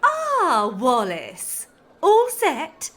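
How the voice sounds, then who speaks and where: High, swooping village healer played by a woman. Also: wildly swooping pitch, drawn-out words, a bit bright, heavily acted. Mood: bright concern. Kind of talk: comic audio drama acting.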